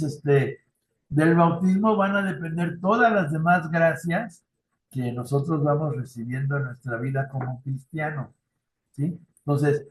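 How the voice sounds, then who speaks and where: Mexican-accented middle-aged male trainer, chatty, fairly high voice, echoey room over a video call. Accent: Mexican Spanish. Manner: conversational, frequent tag questions and personal anecdotes. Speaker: youth ministry trainer. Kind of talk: online formation talk.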